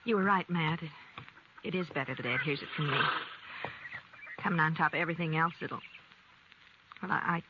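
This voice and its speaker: An actress in a 1950s radio western, playing a folksy, anxious farm wife who halts when upset. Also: female voice, deep voice, fairly quick, long pauses, close to an everyday voice. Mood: distressed, resigned. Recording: noisy, dull old radio recording.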